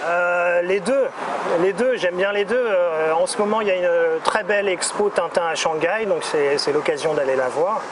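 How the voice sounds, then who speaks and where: Relaxed man in his forties, street noise. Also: sits high. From France. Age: 40s. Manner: relaxed, hesitant, many "euh" pauses. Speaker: interview guest. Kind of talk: casual street interview. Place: outdoors on a city street.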